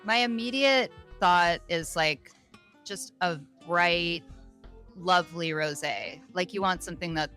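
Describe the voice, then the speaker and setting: A woman's voice: on the low side, unhurried, sharp tone, faint music behind. A woman guest on a casual interview, explaining things in an easygoing way as she thinks aloud. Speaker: guest, wine bar owner.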